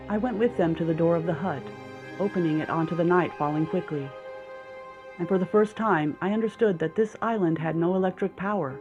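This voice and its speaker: Brief: male storyteller, wry first-person narration, higher pitch, muffled lo-fi sound. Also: a little fast, music in the background. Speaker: audiobook narrator. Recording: dull lo-fi recording.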